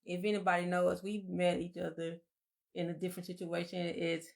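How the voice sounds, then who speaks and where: A soft-spoken woman, co-hosting a casual live-stream chat and telling her story in a meandering, reflective way. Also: on the low side, little pitch movement, brisk, gravelly.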